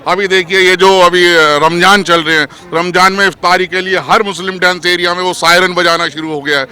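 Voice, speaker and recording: A man's voice: high voice, steady tone, brisk, bright, forceful tone, clean tone. A man giving argumentative political opinion in an interview, emphatic and rhetorical, mixing in English terms.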